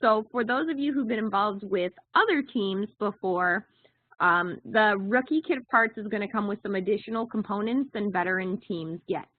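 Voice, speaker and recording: A woman's voice: medium pitch, moderate pace, no echo. A woman co-hosting a conference call, giving an informal, informational briefing over the telephone. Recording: narrow, tinny phone conference line.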